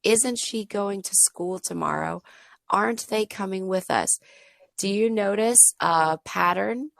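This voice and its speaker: A woman tutoring a child in English online, slightly hoarse and losing her voice, modeling sentences slowly and clearly. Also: medium pitch, no room sound. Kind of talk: patient teaching over a video lesson.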